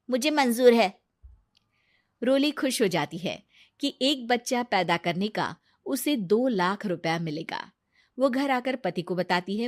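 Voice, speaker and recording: A woman reading a scripted moral story as narrator. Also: medium pitch.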